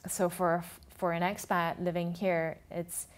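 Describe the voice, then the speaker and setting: A woman's voice: lowish voice, level tone, unhurried. A woman guest on a TV talk show, explaining her views in a thoughtful way with frequent restarts. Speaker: food and travel blogger, guest. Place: TV studio.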